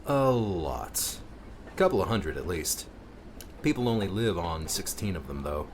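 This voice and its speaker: A moody, withdrawn guardian in an audiobook, played by a man. His voice sits low and he answers in a curt, cool, matter-of-fact way over clear background noise. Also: sing-song, unhurried, full character voice. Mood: distracted, flat.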